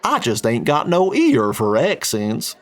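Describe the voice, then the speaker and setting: A man's voice: medium pitch, huge pitch swings, unhurried, pitched above the performer's own voice. A man voicing a blunt, boastful middle-aged oil tycoon. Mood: loud, jovial. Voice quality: folksy drawl, tobacco-spitting. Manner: loud, plain-spoken, boastful.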